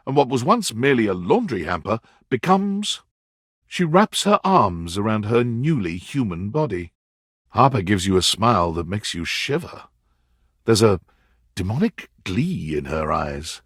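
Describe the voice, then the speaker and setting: A man performing as the storyteller narrator of a dating game, reading scripted second-person narration in an expressive, measured way. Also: medium pitch, breathy edge, no echo, clean studio sound.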